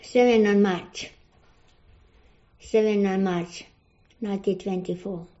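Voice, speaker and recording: Older Croatian-accented woman, native Croatian, slow and halting, mellow voice, dull lo-fi recording. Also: medium pitch, fairly even intonation. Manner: halting broken English, searching for words. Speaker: interviewee. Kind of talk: oral history interview.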